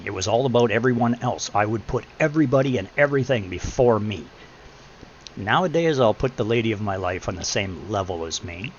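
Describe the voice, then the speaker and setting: A deep-voiced middle-aged man giving blunt, preachy advice in a casual chat, swearing freely. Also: creaky. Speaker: podcast host. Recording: muffled lo-fi with faint hum.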